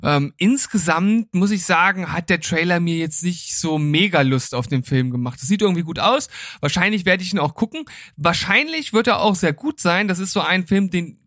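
Easygoing man with a higher voice. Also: lively intonation, normal speed, hardly any pauses, fairly bright tone, no echo, studio quality. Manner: easygoing, hesitant with fillers. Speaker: host. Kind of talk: casual film chat.

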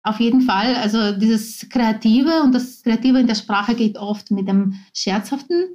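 A woman's voice: on the high side, unhurried, a little mellow. A woman whose first language is Ukrainian, a linguist speaking as a guest in a podcast interview, who explains at length and keeps reformulating her points. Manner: explanatory, often reformulates with "also".

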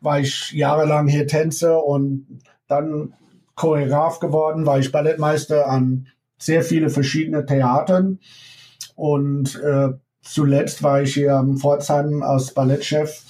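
A middle-aged man from the United States with an American accent, a ballet teacher talking as an interview guest in halting German full of pauses and small laughs. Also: a little high, mellow. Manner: halting German with many ums and frequent laughs. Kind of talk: casual interview over remote connection.

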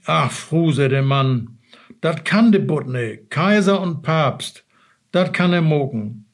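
A man voicing a timid fisherman pleading with his wife, in a Low German fairy-tale reading. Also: animated, slow pace, full character voice. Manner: meek and pleading. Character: timid old fisherman, anxious husband.

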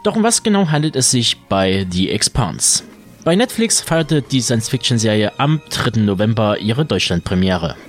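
A man hosting a podcast, reading scripted series news in a wordy, tongue-in-cheek way. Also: medium pitch. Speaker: podcast host. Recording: music bed under the voice. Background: music.

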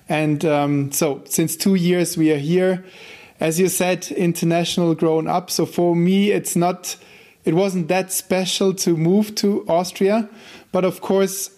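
A man in his forties, a native German speaker, talking as an interview guest in non-native English with many pauses and restarts. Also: a little high. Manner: hesitant, many ums and restarts, relaxed laughs. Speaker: guest, ministry team leader. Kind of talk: casual interview chat.

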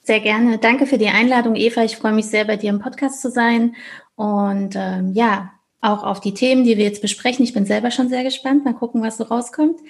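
A woman's voice: fairly high pitch, fairly even intonation, fairly quick, vocal fry. A woman from Thuringia in Germany, a business coach, explaining her work in a casual conversational way as a podcast guest.

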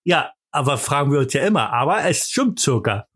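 A man's voice: medium pitch, big pitch swings, brisk, fairly bright tone, breathy edge. A man with a Berlin accent hosting a podcast, joking around in boastful, mock-pompous banter full of fillers and restarts. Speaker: podcast host.

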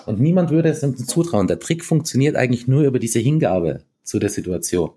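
A man from East Tyrol, a band musician, talking casually in an interview, relaxed and chatty with many fillers and restarts. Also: mid-range voice, fairly quick, a little hiss or hum. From East Tyrol, Austria.

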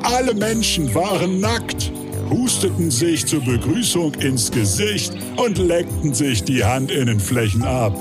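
A man's voice: on the high side, very animated, a little slow. A man playing a grand, mock-epic storyteller, narrating a comic radio play with pompous drama. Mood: grand, mock-solemn. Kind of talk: scripted narration. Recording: music under voice.

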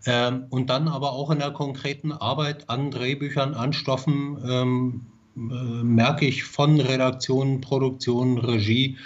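A man answers interview questions as a screenwriter, pausing often with fillers as he thinks. His voice is of middling pitch, and the recording sounds dull and lo-fi. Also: level tone. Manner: hesitant, many fillers.

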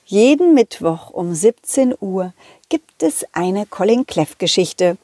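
A woman's voice: mid-range voice, very animated, slow, warm tone, dry recording, clean studio sound. A woman presenting a children's podcast in a scripted sign-off style. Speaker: puppeteer presenting own podcast.

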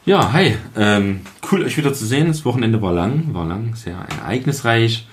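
A man's voice: medium pitch, expressive, moderate pace, slight background noise. A man in a casual podcast chat, talking reflectively in a loose colloquial way with frequent tag questions. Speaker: podcast guest. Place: kitchen.

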